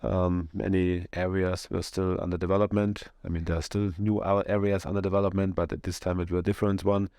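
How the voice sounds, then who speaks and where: Flat, deep-voiced man on a podcast. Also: fairly quick, few pauses, dry recording, studio quality. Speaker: co-owner of a real estate firm. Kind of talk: casual podcast chat.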